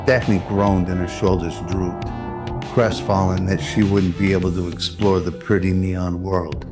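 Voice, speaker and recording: A man's voice: sits low, flat delivery, unhurried. A man giving scripted dramatic narration in the style of an old radio drama. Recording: loud music under the voice. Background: background music.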